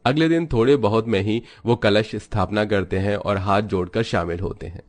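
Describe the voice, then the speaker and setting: Low-pitched male story narrator. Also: moderate pace. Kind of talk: scripted narration of moral story.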